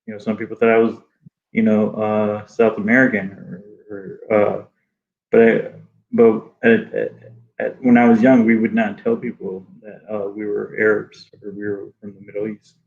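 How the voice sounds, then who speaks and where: Male guest, native Arabic speaker, gravelly, hesitant delivery, dull lo-fi sound. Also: fairly low pitch, flat delivery. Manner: halting, many restarts and fillers. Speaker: guest artist. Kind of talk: casual interview conversation.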